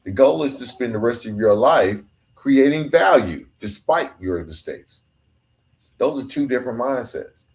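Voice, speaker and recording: Low-voiced man preaching motivation. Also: a little mellow. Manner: emphatic, repetitive preaching cadence. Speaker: podcast host. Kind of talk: motivational monologue.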